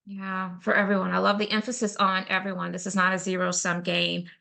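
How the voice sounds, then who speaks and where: A woman's voice: medium pitch, completely flat delivery, brisk, vocal fry, bright, forceful tone. A woman co-hosting a podcast interview, talking in a conversational and affirming way. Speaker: co-host.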